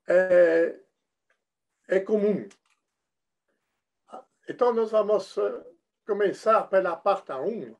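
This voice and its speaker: A man with a northern French accent, speaking Portuguese as a second language, gives a technical lecture as a consultant, halting often with fillers and restarts. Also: high voice, darkish tone. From northern France. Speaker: industrial projects consultant.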